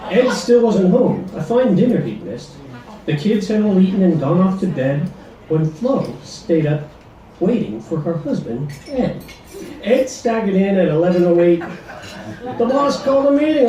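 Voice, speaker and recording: Male performer, high animated voice, dramatic comic verse recitation, echoey room. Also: a little slow, a crowd in the background. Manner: dramatic, playing to an audience. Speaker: reader performing a comic poem. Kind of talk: theatrical rhyming verse recitation. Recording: echoey, noisy stage recording from far off.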